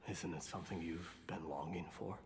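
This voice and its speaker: A man's voice: sits low, fairly even intonation, normal speed, soft background music. A man playing a tired, aloof male warrior in a video game, speaking tersely. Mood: weary impatience. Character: weary, curt, low-voiced male warrior. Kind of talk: scripted video game voice acting. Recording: reverberant, clear background noise.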